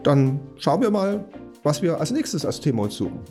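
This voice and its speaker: An older man co-hosting a podcast interview, talking in a thoughtful, halting way and often repeating words as he restarts. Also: fairly high pitch, big pitch swings, a little mellow, faint music behind. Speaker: podcast co-host.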